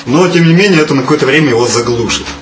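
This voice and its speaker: A man's voice: on the high side, racing. A man in his early thirties talking casually in a group discussion, with an ironic, self-deprecating manner. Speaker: musician guest answering questions. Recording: echoey room with clear background noise.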